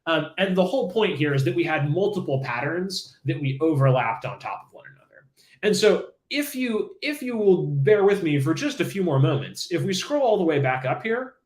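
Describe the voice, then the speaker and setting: A man's voice: a little high, sing-song, moderate pace. Chatty man teaching in an echoey room. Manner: casual, enthusiastic, many fillers and restarts. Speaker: livestream coding instructor. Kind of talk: live-streamed coding lesson.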